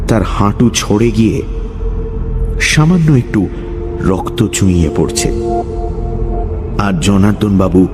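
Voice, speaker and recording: A man narrating a scripted horror story dramatically, with suspenseful pauses. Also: low voice. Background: music.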